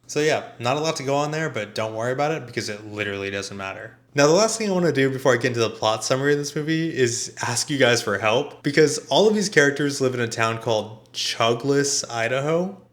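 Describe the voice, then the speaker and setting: A man's voice: mid-range voice, lively intonation, fast talker, few pauses. Sarcastic man narrating a movie review. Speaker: video essayist and film reviewer.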